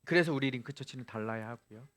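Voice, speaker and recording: A man's voice: medium pitch, fast, a bit bright. A male pastor preaching a sermon, asking the congregation rhetorical questions and rising to emphatic peaks.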